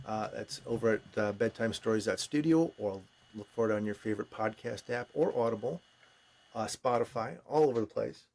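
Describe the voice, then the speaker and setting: A man's voice: lowish voice, brisk, a little mellow. An American man hosting a podcast, talking casually as he interviews a guest. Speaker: podcast host.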